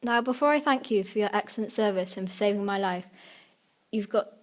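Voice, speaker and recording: A woman's voice: medium pitch, fairly quick, lightly acted. A woman playing a loving but stern mother and queen in a children's audiobook, with a firm, declarative delivery. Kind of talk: children's audiobook character acting.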